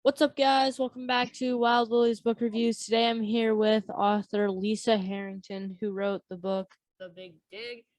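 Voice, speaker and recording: Girl hosting a casual book interview, soft mellow tone, kinda high, no echo. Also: little pitch movement, normal speed, hardly any pauses. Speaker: book review show host. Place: remote online call.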